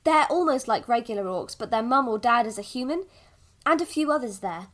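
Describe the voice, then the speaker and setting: A woman playing a patient village mother in an audio drama, softly coaxing and a little evasive about the past. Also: a little high, expressive, fairly quick. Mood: gentle, explaining.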